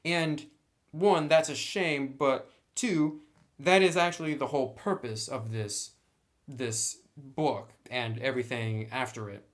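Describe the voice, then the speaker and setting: A man's voice: mid-range voice, slow. A man giving a conversational review and explanation, stressing key words emphatically by drawing them out. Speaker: tabletop game reviewer.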